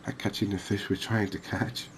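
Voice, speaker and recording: Low, even-voiced man, relaxed game commentary. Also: moderate pace, a little hiss or hum. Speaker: host of a cozy fishing game channel. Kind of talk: relaxed ASMR-style gameplay commentary.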